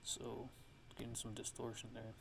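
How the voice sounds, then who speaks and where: A man giving a casual, hushed ASMR-style software tutorial, explaining things in a halting, unscripted way. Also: mid-range voice, completely flat delivery, vocal fry, heavy background noise. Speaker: music production tutorial host.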